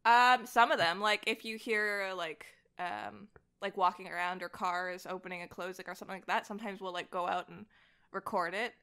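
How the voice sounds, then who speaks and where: A woman chatting casually as a podcast guest, with an animated, giggly and self-deprecating manner. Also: medium pitch, normal speed, vocal fry, smooth. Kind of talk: casual chat.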